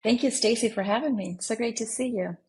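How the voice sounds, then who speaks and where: A woman from the Dominican Republic, a financial planner, telling her own story in a measured, reflective way as an interview guest. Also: on the high side, darkish tone, breathy edge.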